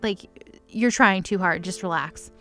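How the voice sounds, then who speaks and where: A woman's voice: mid-range voice, unhurried, pushed and bright. Sarcastic woman recapping over music. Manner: sarcastic, valley-girl phrasing full of "like". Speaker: recap narrator. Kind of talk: casual comedic story recap, improvised. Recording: music under the voice.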